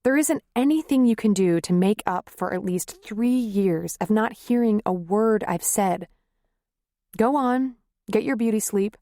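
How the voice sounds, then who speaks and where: A tired, grieving wife who snaps into anger, as a woman reads her, her voice climbing high and strained. The delivery is slow and heavy. The sound is close and completely dry. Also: dark tone, no echo, close to an everyday voice. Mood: upset. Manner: raised, heated and strained. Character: weary, fed-up wife, grieving and angry. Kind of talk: audiobook character reading.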